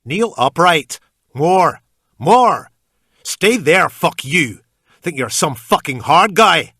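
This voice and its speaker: A man's voice: fairly high pitch, very animated, takes it slowly, sharp tone, breathy edge, dry recording, clean studio sound, voice pushed up, full character voice. A man voicing a drunk, enraged male gunman who screams threats, out of control. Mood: screaming rage.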